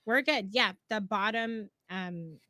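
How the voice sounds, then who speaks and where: Bright upbeat woman giving a tutorial. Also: mid-range voice, takes it slowly, no room sound, clean studio sound. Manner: upbeat, affirming, walks viewers through steps. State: slightly losing her voice. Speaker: co-host, platform guide. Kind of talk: live stream tutorial.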